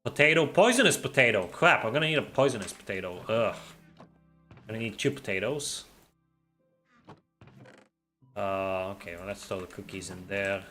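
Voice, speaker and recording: A man's voice: lowish voice, a little fast, soft background music. A man streaming a game and chatting casually with viewers, making his R sounds in the throat. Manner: relaxed, reads and answers chat while playing. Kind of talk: casual livestream chat.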